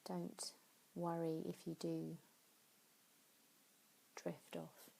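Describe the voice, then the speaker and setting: A woman leading a guided sleep meditation in a soothing, unhurried way, pausing between phrases. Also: on the low side, completely flat delivery, creaky, warm tone, rough recording. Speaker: yoga nidra meditation teacher.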